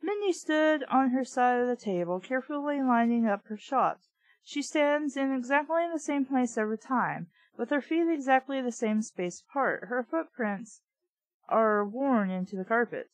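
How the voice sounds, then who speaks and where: A woman's voice: high-pitched, animated, moderate pace, no echo, low-quality recording. Blunt, sweary woman in a dry-sounding room. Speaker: reader and commentator of a children's book. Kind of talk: casual read-aloud with off-the-cuff commentary.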